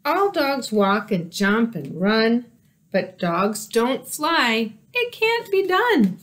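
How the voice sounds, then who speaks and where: A woman's voice: on the high side, sing-song, slow pace, a bit bright, exaggerated voice. A woman voicing a prim, disapproving female dog schoolteacher in a storybook, firm and admonishing. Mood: reproving.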